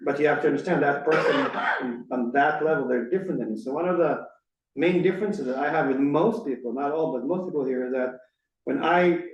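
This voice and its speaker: A man's voice: fairly high pitch, brisk, highs cut off. A man from Israel with an Israeli accent, a rabbi teaching his congregation in casual conversation, full of restarts and "right?" checks. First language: Hebrew. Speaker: rabbi leading a study session. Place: synagogue hall. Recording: echoey room, mic at a distance.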